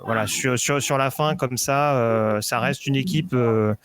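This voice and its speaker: A man's voice: medium pitch, unhurried, a crowd in the background. A man giving casual football predictions on a podcast, hesitant, with many fillers and restarts. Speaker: football analyst.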